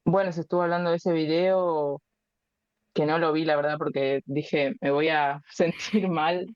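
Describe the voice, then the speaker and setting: Young Argentine woman, clear voice. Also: deep voice, fairly even intonation, a little slow, no echo, dull audio. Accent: Argentine Spanish. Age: 20s. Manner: thoughtful, hesitant, many fillers. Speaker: guest. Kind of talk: casual interview chat.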